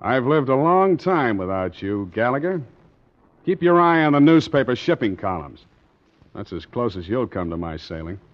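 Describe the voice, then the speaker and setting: An actor playing a tough, wary American sea captain and narrator in a 1940s radio drama, with a clipped, wry delivery. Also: male voice, medium pitch, big pitch swings, moderate pace, lightly acted. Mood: gruff, defiant. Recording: dull old radio recording, organ music.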